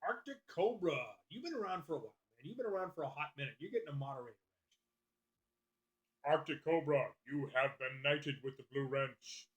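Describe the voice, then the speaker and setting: A man hosts a casual live stream, answering his viewers one by one in a loose, profane way. His voice sits a bit high for a man. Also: brisk, poor audio. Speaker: livestream host.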